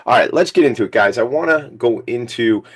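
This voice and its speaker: Hoarse, fired-up male radio host. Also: medium pitch, brisk. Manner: energetic, rambling, hype-man. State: slightly hoarse, scratchy voice. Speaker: radio talk show host. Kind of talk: casual hype radio chat.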